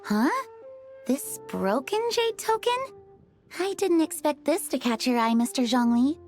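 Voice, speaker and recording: A gracious young woman who deals in antiques, speaks in a high voice with formal, articulate politeness. She sounds earnest and businesslike, a video game character with soft music behind her. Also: sing-song, measured pace.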